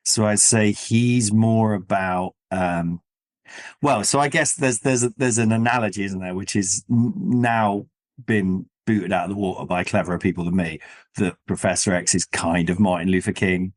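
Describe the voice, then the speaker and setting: A man with a fairly low voice hosts a casual chat show. He rambles and thinks aloud, often checking his own points with questions. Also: fairly even intonation, normal speed. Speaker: podcast host. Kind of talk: casual comic-book discussion.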